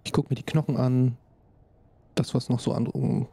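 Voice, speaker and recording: Mellow, husky male role-player. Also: medium pitch, little pitch movement, moderate pace. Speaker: player in tabletop role-play. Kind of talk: improvised in-character play and casual chat.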